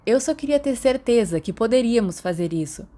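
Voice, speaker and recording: Woman reading an earnest, persuasive teenage schoolboy, slightly raised pitch. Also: animated, fairly quick, mellow, lightly acted. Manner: reassuring, explanatory. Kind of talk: audiobook character dialogue.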